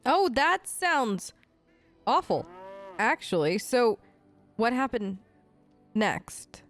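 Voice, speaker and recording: A woman's voice: on the high side, big pitch swings, very slow, a bit bright, soft background music. A woman improvising casual, breezy, lightly wry role-play dialogue for a game let's play. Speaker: gamer voicing her player character.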